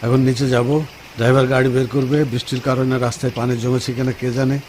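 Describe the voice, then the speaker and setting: A man's voice: mid-range voice, flat delivery, a little fast, darkish tone, a little hiss or hum, lightly acted. A man acting an impatient, dismissive husband in a Bengali radio drama, brusque and insistent.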